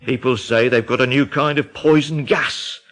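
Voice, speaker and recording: Guy playing a scared worked-up english midlands man, radio play, urgent dramatic telling, mid pitch. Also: steady tone, moderate pace, fairly bright tone, lightly acted. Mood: agitated. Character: alarmed, agitated English Midlands man spreading rumours of terror.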